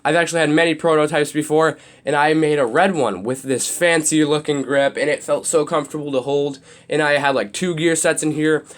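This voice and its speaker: Young male hobby host, a bit raspy, rambling how-to walkthrough. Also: a little high, fairly even intonation, a little fast, hardly any pauses. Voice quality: slightly raspy. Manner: loose, rambling explanations with many restarts and fillers. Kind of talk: casual how-to demonstration.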